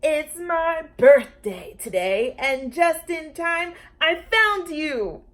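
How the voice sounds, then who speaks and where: A woman voicing a bold, bratty little girl in a read-aloud storybook, with loud, drawn-out, emphatic delivery. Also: high voice, expressive, voice pushed up. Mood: triumphant, bossy.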